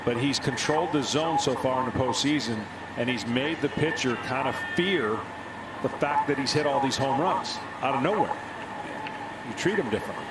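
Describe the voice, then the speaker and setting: A man's voice: medium pitch, normal speed, very noisy. A man giving conversational analysis as color commentator on a live baseball broadcast. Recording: roomy, loud ballpark ambience behind.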